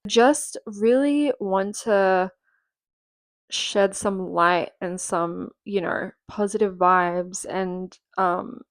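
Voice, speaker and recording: A woman in her twenties chats her way through a home-recorded podcast, rambling and full of fillers. Her voice has a gravelly, fry-tinged texture and sounds a little nasal from a cold. The sound is close and dry, with no room echo. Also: mid-range voice. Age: 20s. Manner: chatty and rambling, with frequent fillers and laughs. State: sick and a bit nasal. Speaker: podcast host. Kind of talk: casual solo chat.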